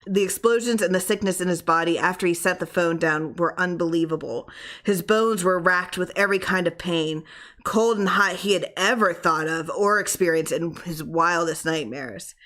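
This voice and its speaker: A woman narrates a horror tale in a fairly low voice. The scripted reading is dramatic and expressive, built for suspense. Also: normal speed.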